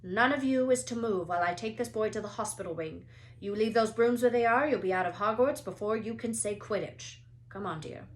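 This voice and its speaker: A woman voicing a brisk, bossy older female flying teacher in a storybook, barking commands. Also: medium pitch, lightly acted. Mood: brisk, urgent.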